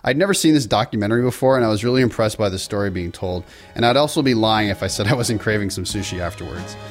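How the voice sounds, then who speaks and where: A fast-talking man with a fairly low voice hosts a podcast, moving briskly from a scripted introduction into an interview. Faint music sits underneath parts of his talk. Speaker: podcast host.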